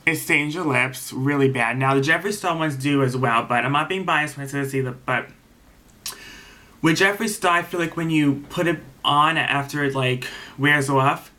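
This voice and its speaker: A man's voice: a little high, moderate pace, pushed and bright. A young man giving a casual, rambling review of a reality show episode, swearing freely and retelling scenes as he goes.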